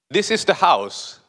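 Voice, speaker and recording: A man from northern Sweden with a Swedish accent, giving a conference presentation in a large hall in a conversational way with many "uh" pauses. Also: fairly high pitch, sharp tone. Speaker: conference speaker.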